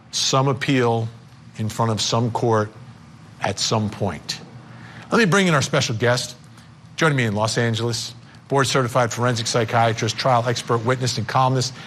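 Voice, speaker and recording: A man hosting a live legal news interview, speaking in emphatic, deliberate phrases. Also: mid-range voice, fairly bright tone, a little hiss or hum. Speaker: TV news host.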